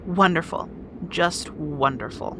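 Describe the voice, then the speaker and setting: A woman's voice: low-pitched, slow, noisy background. A woman playing a bossy, guarded young rat princess in an audio roleplay drama, with exasperated, wry asides. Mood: apologetic, exasperated.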